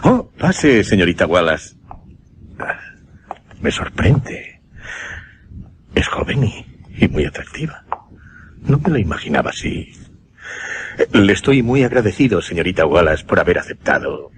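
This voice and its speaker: A courteous, grave gentleman in a radio suspense drama. His Castilian voice sits low and swings expressively as he speaks formally and deliberately. A faint noise lies under the recording. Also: very animated. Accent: Castilian Spanish. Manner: formal, polite, hesitant starts, deliberate. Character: courteous, grave gentleman recounting a notorious mesmerism case. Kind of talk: Spanish radio suspense play acting.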